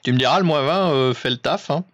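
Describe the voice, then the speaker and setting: A man's voice: medium pitch, quick pace, a bit bright, dull audio, a little hiss or hum. A man coaching another amateur radio operator through settings in a casual, instructive way. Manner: informal, instructive. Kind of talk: casual technical chat over amateur radio.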